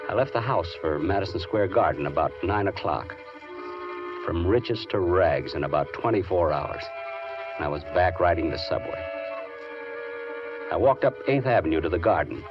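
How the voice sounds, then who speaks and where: Nervous american piano tuner in old radio play, kinda low voice, plaintive and hesitant, muffled old recording. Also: male voice. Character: Hercules, mild, nervous, henpecked piano tuner. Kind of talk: 1940s radio drama acting with first-person narration. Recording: dull vintage radio recording, music behind.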